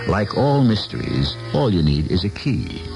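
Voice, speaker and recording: A man's voice: low-pitched, big pitch swings, normal speed, gravelly, rough recording. A man hosting a radio mystery series with wry, theatrical scripted narration. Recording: old radio broadcast over loud music. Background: loud music.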